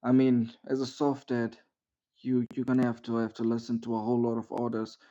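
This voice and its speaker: A man's voice: medium pitch, completely flat delivery, a little fast, dark tone, dull audio. A man training a recruit in a roleplay game, giving formal, instructive feedback full of "sir" and "um". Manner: instructive, formal, ends lines with "sir", many "um"s.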